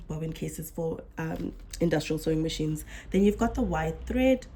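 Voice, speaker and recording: A young woman talks through a casual tutorial in a lowish, mellow voice. She sounds a little under the weather and often stops to correct herself. Faint noise and clicks sit behind her. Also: lively intonation, measured pace. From South Africa. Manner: chatty, self-correcting explainer. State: slightly unwell, coming down with flu. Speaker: sewing channel host.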